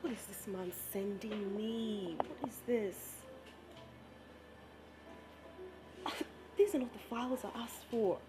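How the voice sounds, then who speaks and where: A woman's voice: mid-range voice, unhurried, music in the background, close to an everyday voice. An actress playing a busy, friendly office woman chatting with a male colleague in a stage play. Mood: puzzled.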